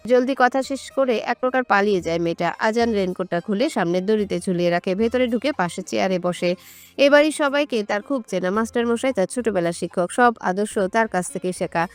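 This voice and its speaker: Woman narrating a story over soft music. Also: mid-range voice, fairly quick, smooth, no echo. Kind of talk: scripted romantic story narration.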